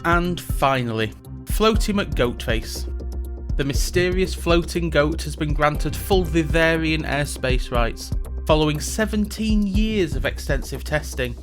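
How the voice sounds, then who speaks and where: A man's voice: on the high side, animated, unhurried, music in the background. A man playing a reluctant, sardonic male mage who hosts a tourism show, delivering scripted comic narration with dry, sarcastic asides. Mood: wry. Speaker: podcast host.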